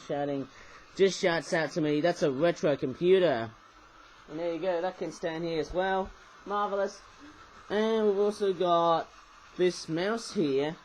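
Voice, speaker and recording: A man who has just woken up, talking croakily through a casual toy review into a webcam. He rambles and keeps correcting himself. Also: fairly high pitch, darkish tone, slight background noise, low-quality recording. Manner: rambling, self-correcting, chatty asides.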